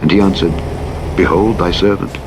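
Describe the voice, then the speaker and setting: A man with a deep voice narrates scripture in a solemn, formal way. His delivery is measured throughout. Vehicle noise from a driving game runs clearly behind him. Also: fairly even intonation. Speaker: Bible audiobook narrator. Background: truck simulator game driving sounds.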